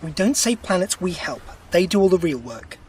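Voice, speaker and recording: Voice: mid-range voice, very animated, normal speed, far from the mic, a little hiss or hum, close to an everyday voice. An actor playing a quirky, wry time-travelling alien adventurer in an audio drama, chatty and given to grumbling. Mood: wry, suspicious.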